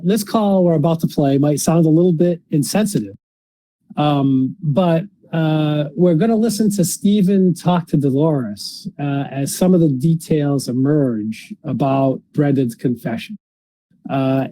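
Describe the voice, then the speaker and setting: A man hosting a podcast in unscripted case discussion, speaking haltingly with frequently repeated and restarted phrases. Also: on the high side, dark tone, dry recording, low-quality recording. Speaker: podcast host.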